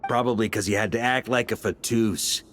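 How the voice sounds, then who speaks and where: A man voicing a cocky, teasing, laid-back rival in a video game, with a gravelly voice. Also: on the low side, fairly even intonation, fairly quick, a bit bright, slight background noise, natural voice. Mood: teasing, smug.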